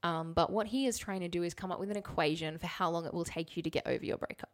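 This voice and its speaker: A woman with a fairly low voice talks casually as a podcast host. Her delivery is wry and candid, slipping between reading and asides, in a dry, close recording. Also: fast talker, no echo.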